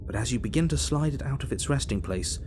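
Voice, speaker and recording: An actor playing the narrator of a horror game, calm, measured and reassuring as he guides the hero. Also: mid-range voice, background noise, natural voice. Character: man narrating, measured, insistent, guiding the hero. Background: game music.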